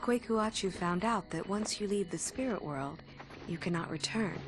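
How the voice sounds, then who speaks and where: An actress playing a gentle woman who tells legends softly in a video game. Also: mid-range voice, moderate pace, close to an everyday voice. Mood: tender, wistful. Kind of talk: video game cutscene acting. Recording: loud music underneath.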